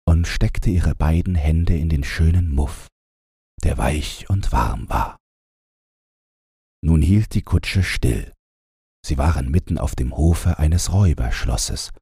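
A man's voice: rumbling low, dark tone, slightly rough, no echo, clean studio sound. A man reading a scripted fairy tale as an audiobook narrator, in a bedtime storytelling style.

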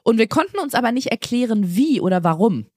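Raspy, giggly woman podcast host. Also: fairly high pitch, big pitch swings, fast, darkish tone, dry recording, clean studio sound. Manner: giggly, playful. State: hoarse. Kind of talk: casual chat.

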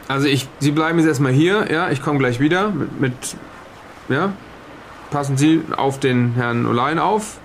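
A man's voice: mid-range voice, normal speed, lightly acted. Man acting a flustered, officious sailors' commander, noisy recording. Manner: rambling, stammering, officious, tagging sentences with questions. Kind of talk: improvised in-character audio drama dialogue.

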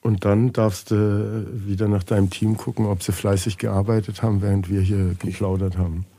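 Low, mellow-voiced male podcast host. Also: flat delivery, moderate pace. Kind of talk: casual chat and short questions.

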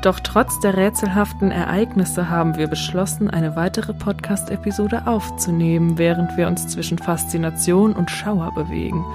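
A woman's voice: fairly low pitch, steady tone, moderate pace, hardly any pauses. A woman co-hosting a podcast, speaking scripted introductions and playful audio play dialogue. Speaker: podcast co-host. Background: music.

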